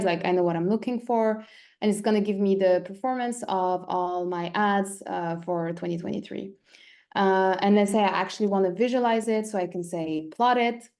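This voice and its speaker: A woman's voice: medium pitch, darkish tone. A woman presenting a technical webinar demo, explaining things step by step in a conversational way. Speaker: presenter giving a product demo.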